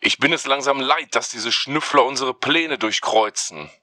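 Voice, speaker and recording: Casting: man as scheming villain chief, forceful, mid-pitched, dry close sound, audio-drama parody. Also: normal speed, no room sound, close to an everyday voice. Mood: irritated. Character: menacing secret conspiracy mastermind.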